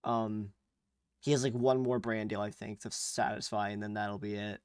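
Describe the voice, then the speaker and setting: A man's voice: lowish voice, steady tone, normal speed, clear, resonant tone, no echo, clean studio sound. A young man co-hosting a casual podcast, rambling along with fillers and restarts. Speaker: podcast co-host. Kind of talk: casual chat.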